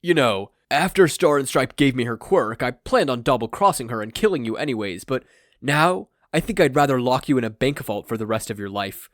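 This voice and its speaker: A man's voice: medium pitch, lively intonation, a little fast, no room sound, clean studio sound, lightly acted. A man playing a grandiose, self-deluded male supervillain with a pompous, melodramatic, wordy delivery in a fan fiction reading. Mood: gleeful menace.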